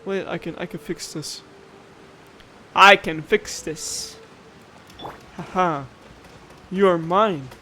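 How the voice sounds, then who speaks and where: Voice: medium pitch, fairly even intonation, slow pace, pauses often, pushed and bright, a little hiss or hum. A gaming streamer giving casual let's play commentary, playful and rambling, often muttering to themselves. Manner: playful, rambling, talks to self. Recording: voice over game music.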